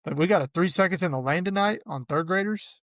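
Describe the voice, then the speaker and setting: A man with a cold, sounding hoarse, hosting a casual sports podcast and laughing often. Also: sits high, quick pace, fairly bright tone, dry recording, studio quality. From Arkansas. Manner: laid-back, laughs often. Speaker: podcast host.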